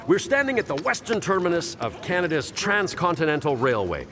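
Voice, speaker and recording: A man's voice: a little high, sing-song, a little fast, crowd noise. A swooping, jokey male tour guide. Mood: jovial, showy. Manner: showy, jokey, punning delivery. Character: cheerful veteran bus tour guide, relentless dad-joker. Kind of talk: radio play acting.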